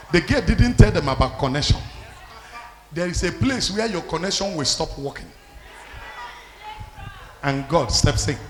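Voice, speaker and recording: A man preaches loudly and calls out commands to the congregation. His slightly high voice moves lively up and down. It rings with long reverb through a roomy hall. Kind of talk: loud sermon.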